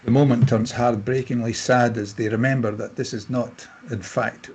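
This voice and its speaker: A man's voice: medium pitch, fairly even intonation, moderate pace, darkish tone, husky. A man reading stage directions as scripted narration at a table read.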